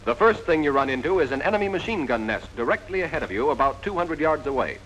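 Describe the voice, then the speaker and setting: A man's voice: on the high side, sing-song, fast talker, noisy background. An American man narrating a 1940s army instructional film, directly instructing the listener in a scripted style on a vintage soundtrack. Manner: direct, instructive, addressing the trainee as "you".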